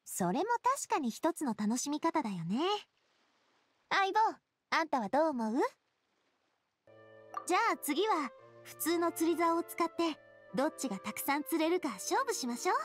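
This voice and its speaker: A spirited young lady from an anime game, with a high voice. She talks casually and expressively, breezy yet earnest and kindhearted. Music runs behind her. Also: moderate pace, pauses often. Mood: cheerful. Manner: casual, feminine, expressive storytelling. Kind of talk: Japanese anime game voice acting.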